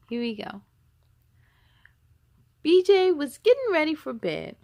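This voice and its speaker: A woman reading a children's storybook aloud as a storytime host, with expressive, emphatic delivery. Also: high voice, takes it slowly, creaky, no echo. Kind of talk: animated children's storybook narration.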